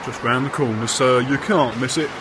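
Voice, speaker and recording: A man's voice: mid-range voice, normal speed, natural voice. A man playing a helpful police officer, polite and deferential. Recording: street noise behind.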